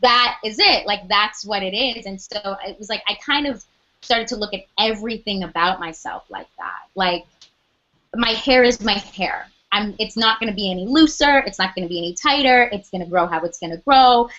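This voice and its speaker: A young adult woman with a loud speaking voice, chatting animatedly as an interview guest, full of "like" and quoted asides. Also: mid-range voice, moderate pace, dull audio. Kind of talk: casual interview chat.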